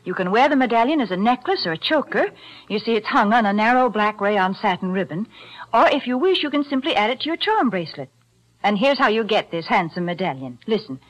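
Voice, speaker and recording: A woman delivering a 1940s radio commercial in a chirpy sales-pitch manner, on an old broadcast recording. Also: lo-fi sound. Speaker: radio announcer.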